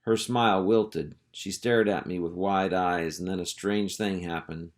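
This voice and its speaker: A man reading a children's storybook aloud as an expressive narrator. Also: low-pitched, measured pace. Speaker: storybook reader.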